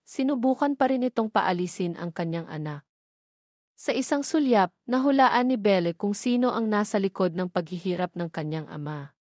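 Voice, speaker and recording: A woman's voice: medium pitch, darkish tone, no room sound, clean studio sound. A woman with a Filipino accent reading a scripted dramatic story as its narrator.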